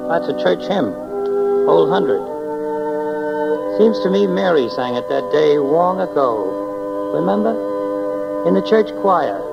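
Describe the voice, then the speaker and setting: Creaky, kindly heavenly listener. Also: male voice, a little high, sing-song, slow, pauses often, exaggerated voice. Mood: nostalgic. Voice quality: creaky, gravelly. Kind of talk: 1940s radio drama acting. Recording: muffled old radio, loud music behind.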